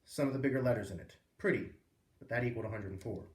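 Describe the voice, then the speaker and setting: A man reading a children's book aloud as a storyteller, with expressive, emphatic phrasing. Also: mid-range voice, very fast. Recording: roomy echo, distant microphone.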